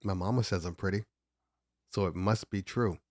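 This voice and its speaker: A man's voice: low voice, normal speed, a little mellow, dry recording, clean studio sound. A man hosting a casual DJ livestream, congested and just out of bed, rambling and joking as he sets up at his home desk. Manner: rambling, self-interrupting, joking. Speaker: DJ livestream host.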